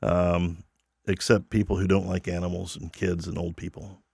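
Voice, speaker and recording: Gravelly low-voiced man hosting a podcast. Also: level tone, moderate pace, a little mellow. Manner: joking, teasing, playful asides. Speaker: podcast host. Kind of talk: casual interview chat.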